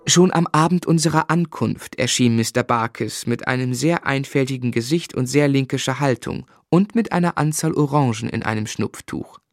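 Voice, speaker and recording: A man's voice: medium pitch, normal speed, few pauses, dry recording, clean studio sound, natural voice. An actor playing a grown man who recalls his boyhood, narrating a literary radio drama in the first person. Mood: reflective. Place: dry studio.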